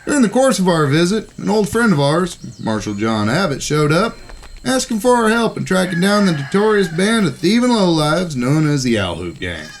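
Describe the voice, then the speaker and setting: A man plays an excitable cowboy kid brother in a Western radio play. His voice sits high and leaps around in a folksy drawl. There is clear noise behind him. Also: moderate pace. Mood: folksy storytelling. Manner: folksy drawl with old West grammar. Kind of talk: Western audio drama acting and folksy narration.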